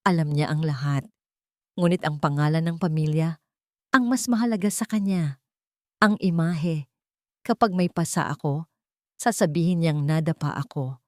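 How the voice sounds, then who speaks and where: A woman's voice: sits low, moderate pace, long pauses, dark tone, no echo, studio quality, lightly acted. A woman with a Filipino accent voicing a frightened, bitter woman in hiding, pained and dramatic, in a story.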